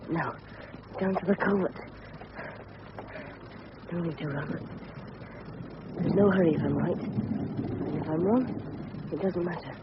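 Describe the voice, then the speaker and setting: Casting: man as plucky English teenage boy, high-pitched and earnest, period radio play, heavy background noise. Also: close to an everyday voice. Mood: tense, determined. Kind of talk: radio drama acting. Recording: muffled, very noisy old radio recording.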